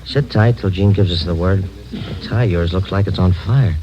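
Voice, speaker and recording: Muffled old radio drama with faint noise. A wary undercover policeman acted by a man speaks low and casually, in short laconic lines. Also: moderate pace, close to an everyday voice. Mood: low-key. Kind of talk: casual-toned 1950s radio drama acting.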